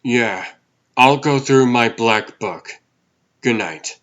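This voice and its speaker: A man's voice: mid-range voice, takes it slowly, a bit bright, muffled recording. A man playing a mild, awkward, apologetic reporter with a hesitant manner in a comic book dub.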